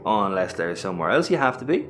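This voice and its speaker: Over faint ambient noise, an Irish space captain speaks with lively swings in pitch. He is a wry, sweary rogue with a soft heart, in a sci-fi comedy audio play. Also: fast talker. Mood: playful.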